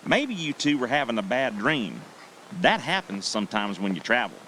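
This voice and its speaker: Bright, swooping, chatty innkeeper Harvey acted by a man. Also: medium pitch, sing-song, moderate pace, a little hiss or hum, rough recording. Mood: defensive, dismissive. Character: chatty, evasive male bed-and-breakfast host named Harvey. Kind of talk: audio drama acting.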